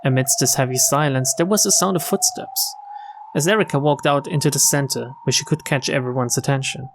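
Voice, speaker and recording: A man's voice: medium pitch, brisk, noisy background. A man streaming a game, reading story text aloud and adding casual comments. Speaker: streamer and narrator.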